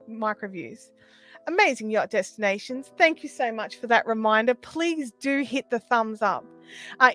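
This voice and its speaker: Aussie woman hosting a livestream, highish voice, chatty explainer, bit of music behind. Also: a bit bright. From Australia. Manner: conversational, explanatory. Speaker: livestream host. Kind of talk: casual livestream chat answering viewer questions. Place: small sound-treated home room.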